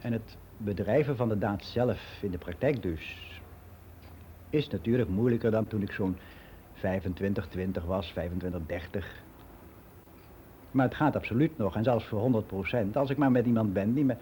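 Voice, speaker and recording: Mellow-voiced, candid older man, played by an actor. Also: on the low side, a little hiss or hum, natural voice. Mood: candid, confiding. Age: 60s. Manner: frank, reflective, halting with self-corrections. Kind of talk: confessional monologue in a radio play.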